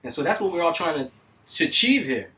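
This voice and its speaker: A middle-aged man from North Miami telling stories in a casual studio interview, animated and full of street slang and cursing. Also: fairly high pitch, quick pace. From North Miami, Florida. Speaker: music engineer guest. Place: recording studio. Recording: distant mic, faint noise.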